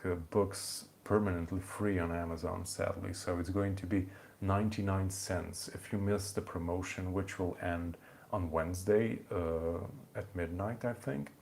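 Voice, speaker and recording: A man's voice: low-pitched, darkish tone, slight background noise. A young man from Hungary with a Hungarian accent, hosting a rambling, casual live-stream chat from home, full of fillers and restarts. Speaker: channel host. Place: home in the evening.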